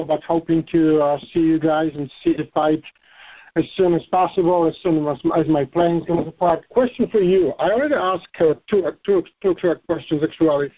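A man asking informal press questions over a conference phone line, halting and repeating his words. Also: a little high. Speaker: boxing reporter asking questions. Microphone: telephone conference call.

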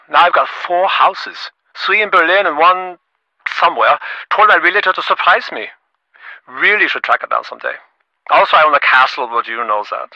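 Cocky tycoon on a muffled phone call, video game: glib, boastful, animated, higher-set male voice. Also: creaky, no echo, full character voice. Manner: boastful, glib, fast-talking salesman patter. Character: brash, self-important rich businessman. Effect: phone filter. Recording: muffled, band-limited phone line.

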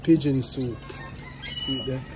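A man's voice: medium pitch, measured pace, over music. A man with a Jamaican accent walking through a farmyard, filming on his phone and calling out playfully to the goats and birds. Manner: playful, calls out to the animals. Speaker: vlogger touring a farm. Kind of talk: casual walking commentary. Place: outdoor farmyard with animal pens. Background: farm animals and birds.

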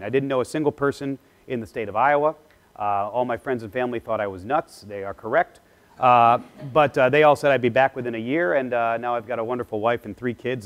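A man in his forties talks through a keynote on a lapel mic in a large lecture hall. He is casual and self-deprecating, with plenty of "uh" fillers between thoughts. His voice sits at an ordinary middle pitch for a man. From Philadelphia area, US East Coast. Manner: gestures with a clicker while pacing the stage. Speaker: keynote speaker. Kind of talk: conference keynote talk.

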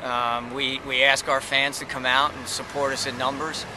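A middle-aged man, a college soccer coach, giving measured post-game comments with many pauses and fillers, outdoors by the field at night. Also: mid-range voice, flat delivery. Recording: outdoor noise behind.

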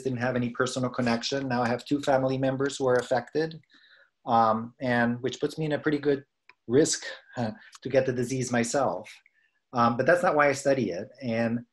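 A middle-aged man with an American accent, a scientist giving an informal, halting, conversational lecture over a video call. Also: mid-range voice. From United States, East Coast. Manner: halting, conversational, self-correcting. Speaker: professor and research scientist presenting.

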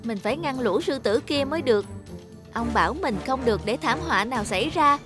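A woman voices a young tree sprite in a cartoon, pitched high. The little creature sounds earnest, naive and tender-hearted. Music runs clearly underneath. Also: animated, a little fast. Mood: earnest, concerned. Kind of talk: cartoon character acting.

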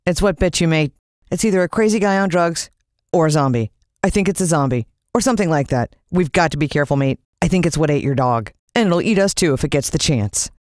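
A loyal young American boy adventurer from a storybook, played by a woman. He speaks earnestly and caringly, with lively intonation and a dry, close sound. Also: deep voice, brisk, dry recording. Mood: urgent, wary.

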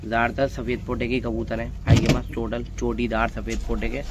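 A man's voice: medium pitch, little pitch movement, fairly quick, heavy background noise. Chatty man filming pigeons outdoors. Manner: chatty, addresses viewers as friends. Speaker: pigeon-keeping vlogger. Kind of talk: casual show-and-tell narration. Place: open-air courtyard full of pigeons. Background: pigeons cooing and fluttering.

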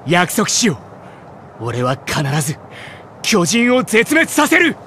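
An actor playing a stern, cold young soldier captain in an anime game, speaking curtly and gruffly. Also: male voice, sits high, huge pitch swings, slow pace, bright, forceful tone, slight background noise, full character voice. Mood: grave resolve. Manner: curt, gruff, terse. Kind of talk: Japanese anime game voice acting.

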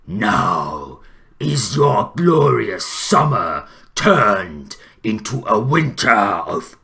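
A man voicing a brooding, eloquent, bitter troll king for fantasy game lines, gravelly and theatrical. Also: a bit bright, exaggerated voice. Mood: menacing, commanding. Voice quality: deep, gravelly. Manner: slow, theatrical, grandiloquent.

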